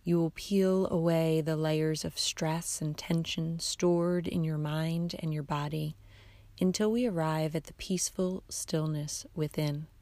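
Female yoga nidra guide: lowish, soft, soothing delivery, hushed and unhurried, in a very dry close recording. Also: steady tone, no echo. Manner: soothing, hushed, unhurried instruction with long pauses. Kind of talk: guided meditation narration.

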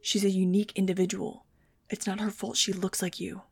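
A woman voicing a guarded teenage boy, speaking low and clipped as he holds back his anger. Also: little pitch movement, a little fast, vocal fry, husky. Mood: restrained. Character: guarded teenage winged boy, tense and restrained.

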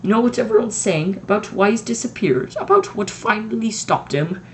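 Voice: medium pitch, lively intonation, moderate pace, slight background noise, voice pushed up, lightly acted. A reader voicing a strict older woman professor who speaks in a clipped, impatient way. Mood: pressing. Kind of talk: audiobook reading.

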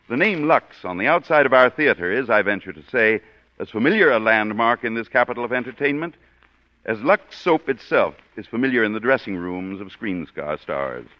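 A man hosting a radio theater broadcast, giving a formal, florid introduction in a polished announcer manner, on a dull lo-fi recording. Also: mid-range voice. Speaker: radio show host and producer.

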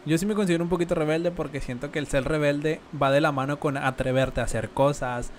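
A young man with a Mexican accent, co-hosting a casual podcast, relaxed and slangy with lots of filler words. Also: fairly high pitch, a little fast, slight background noise. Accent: Mexican Spanish. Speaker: podcast co-host.

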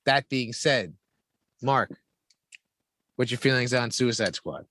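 A man's voice: mid-range voice, lively intonation, takes it slowly, fairly bright tone, dry recording. A man hosting a podcast, chatting about movies in an emphatic, repetitive way and swearing now and then. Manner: emphatic, repeats himself, profane. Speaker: podcast host. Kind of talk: casual movie chat.

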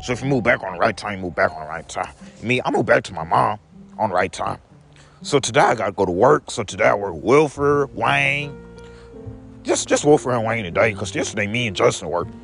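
A man's voice: fairly low pitch, lively intonation, fairly quick, vocal fry, fairly bright tone, soft background music. A young man talking casually to his viewers in a rambling vlog monologue, repeating each phrase back to himself in the second person. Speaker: vlogger.

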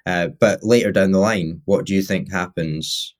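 Low-voiced rambling man. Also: normal speed. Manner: rambling, with many "like" fillers and self-corrections. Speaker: podcast co-host. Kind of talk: casual chat.

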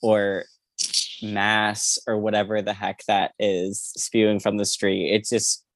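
Casual young-sounding podcast guy, gravelly edge. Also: lowish voice, monotone, takes it slowly, no room sound. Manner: hesitant, rambling, filler-heavy with "like". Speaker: podcast co-host. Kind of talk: casual book discussion chat.

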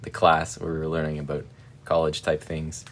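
A man's voice: rumbling low, completely flat delivery, moderate pace. A man in his forties co-hosting a podcast in casual, joking chat, laughing often. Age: 40s. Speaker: podcast co-host.

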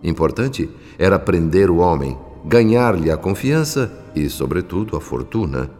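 An actor with a Brazilian accent playing a solemn spirit guardian who narrates a radio drama in formal, measured, literary diction. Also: male voice, deep voice, sing-song, darkish tone. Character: solemn male spirit guardian recounting a family's troubles. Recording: long reverb, music bed behind. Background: music.

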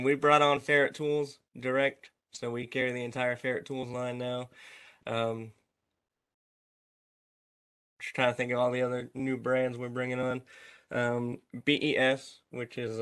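A man giving a casual business update on a group call, steady and filled with ums. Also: medium pitch, clean tone.

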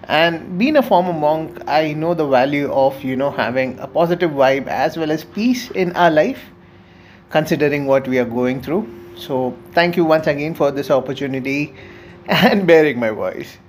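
A man's voice: on the high side, normal speed, slight background noise. A man from India with an Indian accent, a poet giving a friendly, chatty introduction and reading a short poem. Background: soft music.

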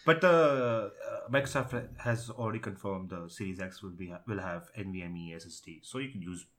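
A man with a fairly low voice chats about tech as a podcast co-host. He is casual but emphatic, stating opinions firmly and restating them for stress. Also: brisk, a bit bright.

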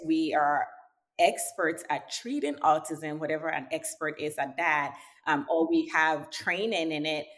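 A woman's voice: deep voice, a bit bright. A woman from Ghana hosting a podcast interview, speaking earnestly and appreciatively. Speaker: podcast host.

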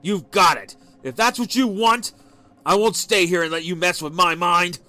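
A volatile husband with an explosive temper, played by a male narrator, his fairly high voice rising and falling as he accuses. Soft music sits faintly behind him. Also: lively intonation, brisk. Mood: raging. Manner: forceful, accusing.

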